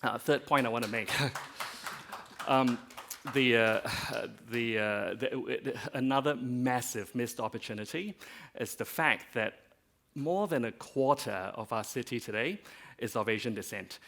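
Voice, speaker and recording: A man's voice: medium pitch, measured pace, gravelly, a bit bright. A man from Malaysia speaking as a panelist, with many fillers and restarts. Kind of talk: panel discussion remarks.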